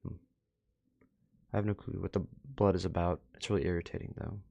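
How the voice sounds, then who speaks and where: A man hosting a reaction video, casually commenting and thinking out loud as he goes. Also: sits low, fairly even intonation, brisk, gravelly, no room sound, dull audio.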